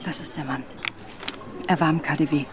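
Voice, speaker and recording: A woman's voice: low voice, fairly even intonation, fairly quick, music in the background, lightly acted. An actress in a radio play playing a determined, loyal woman investigator who argues earnestly and persuasively. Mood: indignant, insistent.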